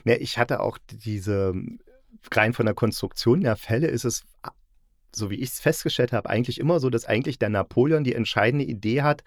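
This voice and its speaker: A man's voice: medium pitch, brisk, dry recording, studio quality. A man hosting a podcast, chatting casually as he reviews and sums things up. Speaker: podcast host. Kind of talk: casual chat, radio play review.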